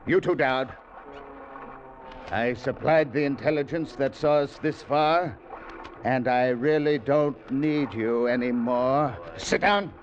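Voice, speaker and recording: An actor playing a smug, pompous escaped-convict ringleader in a 1940s radio drama, speaking with slow, sneering menace. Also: male voice, mid-range voice, close to an everyday voice. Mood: commanding. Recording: dull, hissy old radio broadcast.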